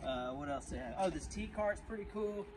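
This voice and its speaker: A man's voice: a little high, expressive, a little fast. A man, chatting casually to viewers while sorting through a storage unit. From Texas. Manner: chatty, talks to viewers as y'all. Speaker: storage unit reseller vlogger. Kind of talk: casual vlog narration. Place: outdoor storage unit, then inside a truck. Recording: faint background noise.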